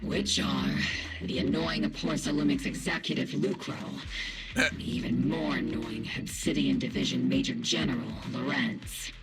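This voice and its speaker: A man voicing a wry, businesslike military squad leader in a video game, briefing in a measured manner. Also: medium pitch, big pitch swings, vocal fry, over loud music.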